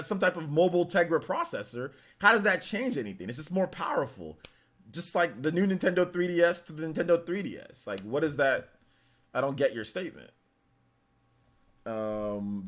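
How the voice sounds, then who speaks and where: A young man hosting a live stream, chatting casually and answering viewer comments in an animated, incredulous way, with many restarts. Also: medium pitch.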